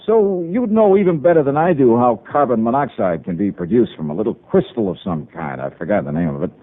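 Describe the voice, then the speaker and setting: An American man playing a sharp, confident freelance insurance investigator in 1950s radio drama, with an insistent, wry, emphatic delivery. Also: medium pitch, animated, brisk, lightly acted. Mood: pressing, accusing. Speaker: star of the radio series. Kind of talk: 1950s radio detective acting. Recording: tinny, band-limited old radio broadcast.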